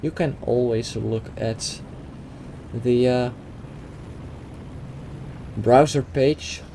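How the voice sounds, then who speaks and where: A man's voice: medium pitch, steady tone, very slow, mellow. A young man from the Netherlands with a Dutch accent, talking over a game replay with hesitant fillers and restarts. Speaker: gaming YouTuber. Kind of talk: gameplay commentary over a replay. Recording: game noise behind the voice.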